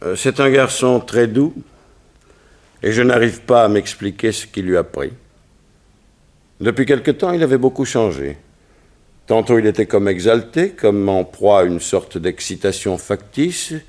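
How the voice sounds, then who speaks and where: Middle-aged statesman and father, troubled yet dignified: earnest 1960s French radio delivery, highly animated pitch. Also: measured pace. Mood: distressed. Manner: formal, earnest, rhetorical. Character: troubled, dignified politician father of a teenage son.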